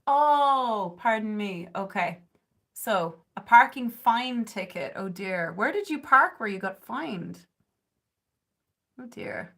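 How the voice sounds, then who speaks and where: A woman's voice: mid-range voice, expressive, takes it slowly, fairly bright tone. An Irish woman in her thirties talks through her makeup on a live stream from her bedroom, close to the camera. She rambles easily with plenty of "like" and "um" and chats with her viewers as she goes. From southern Ireland. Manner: chatty and rambling, with frequent "like" and "um"; coos at her cat. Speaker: beauty livestreamer. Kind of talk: casual chat while doing makeup, reading viewer comments. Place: bedroom at home, indoors. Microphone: webcam or phone camera at close range.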